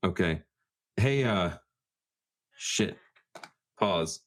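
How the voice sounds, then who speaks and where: Low-voiced man playing a tabletop game. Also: lively intonation, extremely slow. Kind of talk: casual improvised roleplay and table chat.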